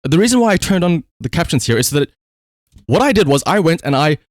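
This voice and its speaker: A man's voice: a little high, very animated, fairly quick. A man livestreaming casual commentary, telling a story conversationally with rhetorical asides.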